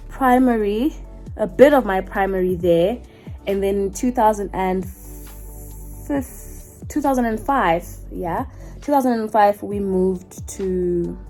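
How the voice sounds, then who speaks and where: A woman's voice: medium pitch, dark tone. A South African woman in her twenties, talking casually to camera, rambling and correcting herself as she goes. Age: 20s. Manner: chatty, rambling, self-correcting. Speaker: YouTuber. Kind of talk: casual get-to-know-me chat.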